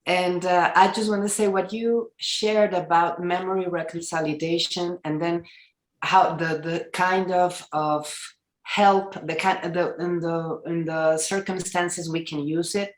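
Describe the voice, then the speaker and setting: Woman w on a video call, lowish voice, kinda echoey room, stops to find words. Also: fairly even intonation. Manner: searching for words, halting. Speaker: therapist asking a question. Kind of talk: audience question on a video call.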